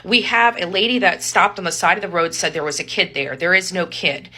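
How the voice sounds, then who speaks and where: A woman's voice: fairly low pitch, brisk, sharp tone. A woman giving casual online commentary, emphatic and opinionated.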